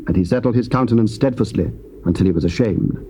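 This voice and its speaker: A male narrator reads scripture in a measured, solemn way. His voice is warm and mellow, and a faint hiss sits under the tape. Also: lowish voice.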